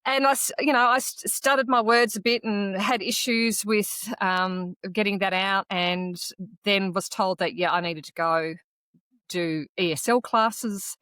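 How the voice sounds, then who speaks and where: A woman whose was Croatian, co-hosting a podcast and telling a personal story in an earnest, reflective way with halting restarts. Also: medium pitch, fairly even intonation, sharp tone, smooth, dry recording. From Australia. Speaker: podcast co-host. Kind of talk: casual conversational podcast chat.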